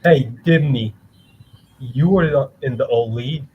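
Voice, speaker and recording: A man's voice: medium pitch, a little slow, slightly rough. A man playing a surly, sarcastic superhero in an amateur comic-book reading, blunt and sardonic. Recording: dull audio, faint background noise.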